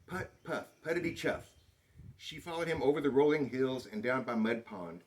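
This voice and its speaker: A man reading a children's picture book aloud in an expressive, dramatic storytelling manner. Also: medium pitch, moderate pace. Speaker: storybook reader.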